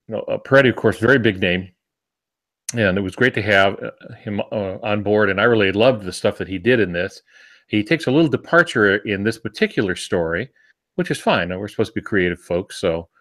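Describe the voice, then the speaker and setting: Low-voiced man in casual, halting book chat. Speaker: novelist and podcast panelist. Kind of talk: casual podcast chat about books and writing.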